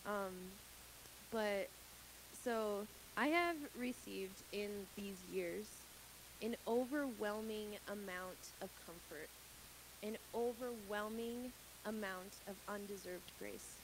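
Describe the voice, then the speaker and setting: A woman's voice: mid-range voice, takes it slowly. Earnest young woman, hissy recording. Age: 20s. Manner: candid and earnest, laughs at her own slips. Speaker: church member sharing her testimony. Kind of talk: personal testimony to a congregation. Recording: hissy background noise.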